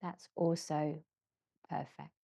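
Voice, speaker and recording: Very slow, low-voiced woman guiding relaxation. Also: little pitch movement, gravelly, no room sound. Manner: measured, gentle, with long pauses between short phrases. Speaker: yoga nidra guide. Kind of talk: slow guided relaxation meditation.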